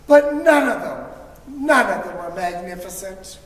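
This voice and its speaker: Lady doing expressive storytime for kids, takes it slow, kinda distant and echoey with a bit of hiss. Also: mid-range voice. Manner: expressive, dramatic emphasis with drawn-out words. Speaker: storybook reader for school children. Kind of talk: animated read-aloud of a children's picture book. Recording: distant mic, echoey reverberant room, faint noise.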